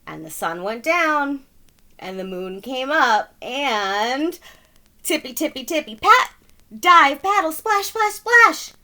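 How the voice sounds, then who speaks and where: A woman narrates a children's storybook in a high, bright voice. Her reading is dramatic and emphatic, unhurried, and playful whenever sound words come up. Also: sing-song. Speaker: storybook reader. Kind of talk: animated children's read-aloud narration.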